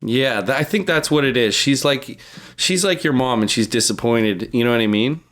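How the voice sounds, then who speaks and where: A man's voice: medium pitch, lively intonation, moderate pace, fairly bright tone. A man in his early thirties chatting casually as a podcast co-host, telling joking anecdotes in slangy, swear-heavy language.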